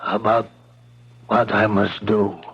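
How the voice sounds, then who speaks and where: A middle-aged man in a 1970s radio drama, playing a grieving father who narrates his plan for revenge in a slow, intense way. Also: fairly low pitch, flat delivery, vocal fry, a bit bright, husky, heavily acted. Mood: anguished. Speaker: lead and narrator. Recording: dull old radio broadcast with music.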